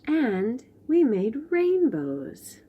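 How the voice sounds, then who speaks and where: A woman storyteller reading picture books aloud to young children, gently and with wonder, pausing to chat to the listeners. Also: on the high side, huge pitch swings, husky, phone-quality sound. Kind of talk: expressive picture-book read-aloud with asides to young listeners.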